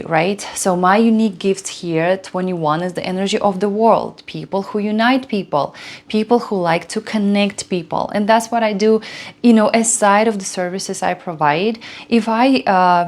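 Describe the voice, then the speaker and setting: A woman in her thirties from Belarus, a Russian speaker, explaining numerology in a chatty way full of fillers and restarts. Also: on the low side. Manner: chatty, many fillers and restarts, frequent "right?". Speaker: host, numerology coach. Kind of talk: casual explanatory talk.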